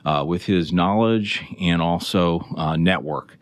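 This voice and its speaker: Deep-voiced male radio host, close and dry. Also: unhurried, no room sound. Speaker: radio show host and interviewer. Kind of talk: business radio interview.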